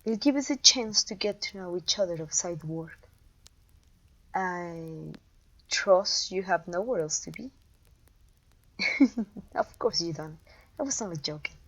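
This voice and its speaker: A woman's voice: on the low side, lively intonation, unhurried, long pauses, a bit bright, exaggerated voice, rough recording. A woman playing a haughty, domineering evil queen and sorceress in a scripted roleplay audio, imperious, scornful and theatrical. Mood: smug, possessive. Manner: imperious, scornful, theatrical, with sighs and disgusted groans.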